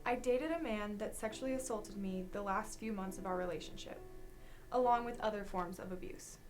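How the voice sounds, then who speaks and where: A young woman reads a first-person testimony aloud. Her pitch is average for a woman, and music plays softly behind her. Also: normal speed. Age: young adult. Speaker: student reader. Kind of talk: reading a personal account aloud.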